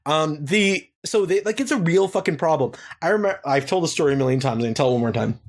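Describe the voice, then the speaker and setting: A man's voice: fairly high pitch, lively intonation, fast. Profane rambling young man telling stories. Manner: crude, profane, rambling anecdotes. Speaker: podcast co-host. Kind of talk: casual comedic storytelling.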